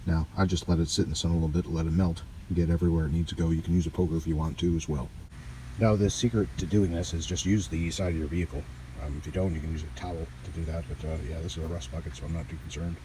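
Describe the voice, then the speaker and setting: A man giving a casual hands-on repair walkthrough in a halting, folksy, offhand way, recorded outdoors on amateur gear. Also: very deep voice, steady tone, mellow, slight background noise, rough recording. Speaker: host of a DIY radio repair channel. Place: outdoors beside a car.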